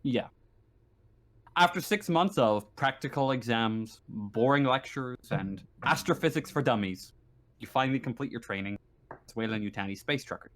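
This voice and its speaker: A man running a tabletop roleplaying game as game master, narrating haltingly as he searches for his words. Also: mid-range voice, fairly bright tone.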